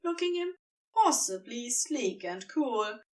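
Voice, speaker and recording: Narrator wanted: woman, highish voice, expressive and slow-paced, reading a story, slightly off the mic. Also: recorded from a distance.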